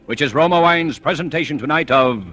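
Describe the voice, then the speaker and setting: An American male announcer introducing a 1940s radio thriller with dramatic flair. His voice is fairly high and sharply forceful, over faint music. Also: a little fast.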